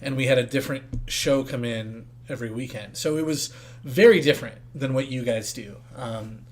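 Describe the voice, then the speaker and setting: A man's voice: mid-range voice, moderate pace. A man co-hosting a casual interview, asking curious, probing questions. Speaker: podcast co-host.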